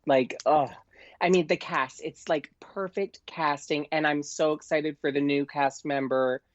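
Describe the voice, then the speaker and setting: A man's voice: on the high side, fairly even intonation, measured pace, dry recording. A man chatting casually as a podcast guest, effusive and full of filler words, on lo-fi audio. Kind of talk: casual pop culture chat. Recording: dull lo-fi dry audio.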